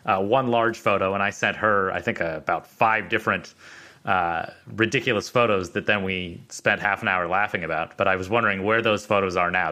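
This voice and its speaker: A man with a somewhat low voice and a bright, forward tone, chatting casually as a guest. His humor is wry and deadpan. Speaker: podcast guest. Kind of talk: casual chat.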